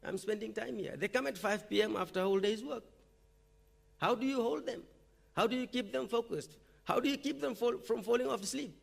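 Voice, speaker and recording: High, gravelly-voiced man giving a conference talk. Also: fairly quick. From Fiji. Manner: conversational, frequent "okay?" check-ins. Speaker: university lecturer presenting at a teaching forum. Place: lecture hall or forum room.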